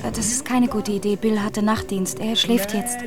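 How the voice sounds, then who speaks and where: An actress in a naturalistic radio drama playing a casual, mildly guarded married housewife. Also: female voice, mid-range voice, fairly even intonation, moderate pace, loud music behind the voice, close to an everyday voice.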